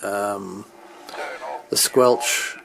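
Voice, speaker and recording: A man with a fairly low voice and very little movement in pitch. He talks like a hobbyist walking someone through his gear without a script. His delivery is halting and hesitant, as if working out each point while he speaks. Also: steady tone, creaky, crowd noise, poor audio. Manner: halting, hesitant, thinking aloud. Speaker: hobbyist demonstrating his radio equipment. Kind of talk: unscripted hands-on equipment walkthrough.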